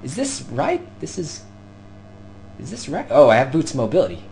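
A young man giving excited live gameplay commentary, shouting during fights and talking himself through moves, his voice going hoarse. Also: on the high side, normal speed, faint music behind. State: losing his voice.

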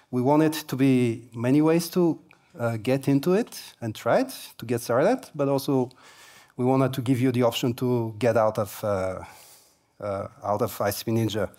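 A middle-aged man giving a keynote on a large conference stage, talking through a headset mic. His voice sits at an ordinary middle pitch. He speaks casually and haltingly, with plenty of ums and small jokes. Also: dark tone. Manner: casual, halting, many fillers, light humor.

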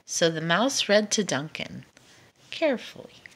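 A woman reading a children's picture book aloud as a storytime narrator, expressive and deliberate. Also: fairly low pitch. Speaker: storytime reader.

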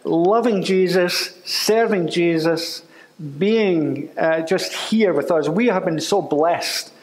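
A man's voice: high-pitched, slow pace, low-quality recording. A jovial, teasing man in his sixties hosting a casual live interview on a church stage.

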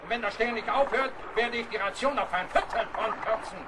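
A man's voice: high voice, fairly quick, very noisy, natural voice. A man playing a tyrannical, suspicious ship's captain in a historical radio play, barking orders and sarcastic accusations. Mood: furious.

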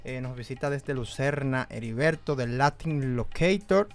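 Podcast host, man, brisk questions, studio mic, light music bed. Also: medium pitch, expressive. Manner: quick questions, lots of short backchannel replies. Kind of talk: casual interview chat. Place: small studio with a patterned wall. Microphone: studio mic on a stand, headphones.

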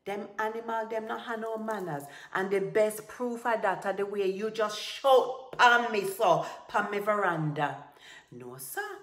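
A woman's voice: mid-range voice, takes it slowly, hardly any pauses, fairly bright tone, voice pushed up. A woman voicing a scornful, indignant woman of a folktale, spitting out her words in Caribbean Creole. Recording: roomy, echoing recording.

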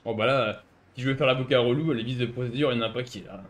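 A man talking casually through a role-play game session. His pitch sits in the middle, and he comes across as sarcastic and quick to argue. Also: fairly even intonation.

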